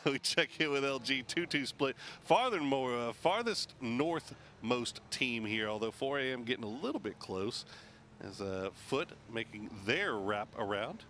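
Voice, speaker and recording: A man commentating a competitive shooter match, jokey and animated, laughing often. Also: medium pitch, a little hiss or hum. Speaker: esports caster. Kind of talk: play-by-play commentary.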